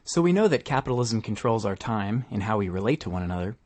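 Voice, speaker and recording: A man's voice: lowish voice, very animated, quick pace, darkish tone, no room sound. A man reading scripted narration as a podcast host.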